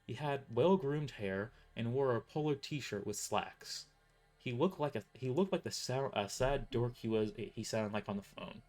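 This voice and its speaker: A man narrates a first-person audio story at a middling pitch. He often trips on words and restarts sentences as he reads. Soft music plays behind him. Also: animated, unhurried. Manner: stumbles and restarts sentences while reading. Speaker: author narrating his novel.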